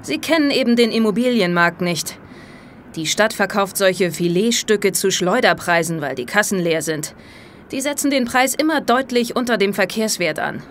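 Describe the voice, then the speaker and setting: A woman's voice: mid-range voice, lively intonation, moderate pace, slight background noise, close to an everyday voice. An actress playing a greedy, brazen businesswoman turned killer in a radio play. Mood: smug, cold.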